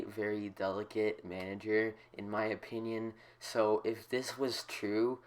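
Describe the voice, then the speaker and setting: Stuffed-up guy hosting a soccer chat, lowish voice, goes on about tactics. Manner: chatty, explains tactics at length. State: congested. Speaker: host. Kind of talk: casual soccer commentary.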